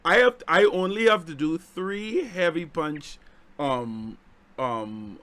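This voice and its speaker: A man hosts a casual chat, speaking emphatically with plenty of slang and frequent laughter. His voice is fairly high and very bright and sharp. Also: expressive, slow pace. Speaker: host.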